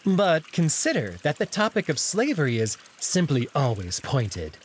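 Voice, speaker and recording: Man voicing a sly, needling jackal noble, swooping pitch. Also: huge pitch swings, normal speed, a little hiss or hum. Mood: mock-polite, probing. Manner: smooth-talking, mock-polite, taunting. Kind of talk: visual novel character voice.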